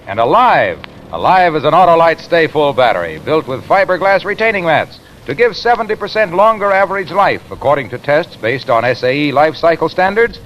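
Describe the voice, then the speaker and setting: An actor playing an exuberant, folksy battery pitchman in a 1950s radio sponsor spot. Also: sing-song, a little fast, hardly any pauses, a bit bright. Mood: exuberant selling. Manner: exuberant, rattling off similes. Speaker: commercial pitchman. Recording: dull, noisy vintage radio recording.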